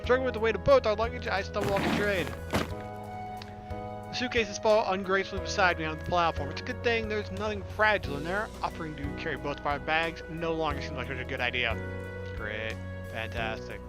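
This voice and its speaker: A man's voice: high-pitched, a little fast. A man hosting a casual let's-play, reading game text aloud and adding joking, sarcastic asides. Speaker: gaming video host. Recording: game music behind the voice.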